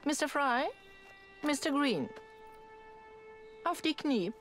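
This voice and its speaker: Imperious high-voiced queen. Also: lively intonation, takes it slowly, fairly bright tone, soft background music, full character voice. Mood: stately, commanding. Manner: regal, formal, commanding. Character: imperious middle-aged queen, stately and formal. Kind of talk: dramatic game cutscene acting.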